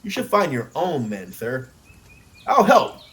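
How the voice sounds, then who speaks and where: A man's voice: a little high, huge pitch swings, a little slow, a bit bright, pitched above the performer's own voice, full character voice. Man acting an earnest, anxious young nobleman. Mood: urgent, wary. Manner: earnest, heavy, emphatic. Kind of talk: audiobook character acting.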